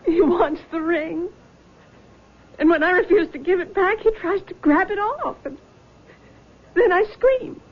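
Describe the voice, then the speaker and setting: An actress playing a frightened, guilt-ridden young nurse in a radio drama, speaking in a shaky, halting, tearful way. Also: female voice, extremely high pitch. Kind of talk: 1970s radio drama acting. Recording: dull old radio broadcast.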